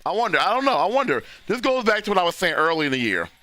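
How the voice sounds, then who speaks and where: A man, hoarse and losing his voice, hosting a casual live football reaction stream and talking loosely with frequent restarts. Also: high-pitched, big pitch swings, very fast, pushed and bright, dry recording. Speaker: livestream host reacting to a football game.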